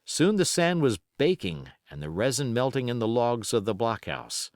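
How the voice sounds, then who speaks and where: Guy reading a classic audiobook, really animated pitch, mid-range voice, dry close sound. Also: dry recording. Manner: expressive, measured storytelling. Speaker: audiobook narrator. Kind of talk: scripted literary narration.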